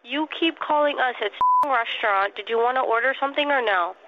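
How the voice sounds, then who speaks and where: Brief: fed-up restaurant worker, high quick voice, dull muffled phone sound. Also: female voice, noisy background. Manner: exasperated, firm.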